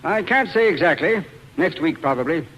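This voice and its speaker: An actor playing a brisk, hard-to-impress Broadway producer in a 1940s radio drama. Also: male voice, on the high side, animated, fairly bright tone, slight background noise, poor audio. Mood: businesslike.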